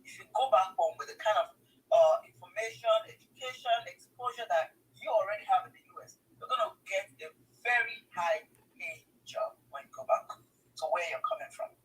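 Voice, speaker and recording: Nigerian-accented woman, emphatic and wildly animated in pitch, heard from a distance over a call. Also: moderate pace. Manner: emphatic, repeats words, addresses listeners directly. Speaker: guest sharing her migration story. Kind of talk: casual advice to international students.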